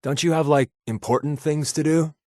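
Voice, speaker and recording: An actor playing a wry, wisecracking American undercover spy companion in a video game, with a glib, sardonic and casual way of talking. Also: male voice, a little high, fairly even intonation, normal speed, a little mellow, no echo, studio quality, natural voice. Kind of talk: video game voice acting, conversational dialogue.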